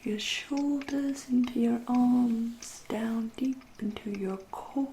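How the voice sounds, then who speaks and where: A woman playing a centuries-old vampire in an ASMR roleplay, with an English accent, a soft breathy half-whisper and a genteel, teasing, politely menacing manner. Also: on the high side, slight background noise, rough recording. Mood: soothing menace. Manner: slow, deliberate, politely menacing.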